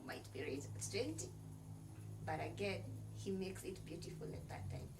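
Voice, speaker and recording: A woman's voice: lowish voice, wildly swooping pitch, normal speed, gravelly, far from the mic, noisy background. A woman podcast guest in an earnest, preacherly, faith-centered conversation, counting off her points and often filling with "like.". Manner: loose filler-heavy phrasing with frequent "like". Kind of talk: faith-based motivational conversation.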